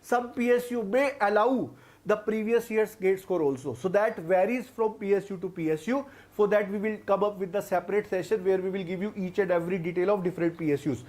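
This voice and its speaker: A man's voice: high voice, brisk, a little mellow. A young man with an Indian English accent teaching an online exam-prep class, explaining step by step and often repeating himself. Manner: explains step by step, repeats phrases, addresses students as guys.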